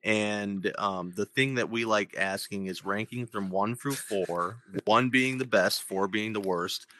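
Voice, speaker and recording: A man in his twenties who hosts a podcast, with a deep radio voice, chatting casually and laughing often. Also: unhurried, pushed and bright, dry recording, rough recording. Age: 20s. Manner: joking, laughs often between phrases. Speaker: podcast host. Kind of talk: casual chat.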